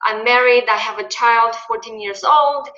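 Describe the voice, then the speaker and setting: A woman in her forties with a Romanian accent, telling her own story as a guest in a halting way, often repeating words. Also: on the high side, sharp tone, reverberant, lo-fi sound. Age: 40s. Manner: halting, repeats words, fights back tears. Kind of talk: emotional interview testimony.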